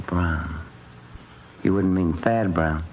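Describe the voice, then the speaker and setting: A deep-voiced police detective asks questions in a dry, plainspoken way with a skeptical edge. It sounds like fifties radio drama, on a dull recording with faint noise. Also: male voice, takes it slowly, a little mellow. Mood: dryly skeptical. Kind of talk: 1950s radio drama acting.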